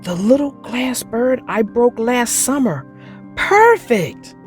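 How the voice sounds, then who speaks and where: An earnest, eager young child played by a woman from a children's storybook. The voice is high and swings widely in pitch. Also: sing-song, takes it slowly, soft background music. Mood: pleased. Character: earnest young schoolboy fretting over perfection.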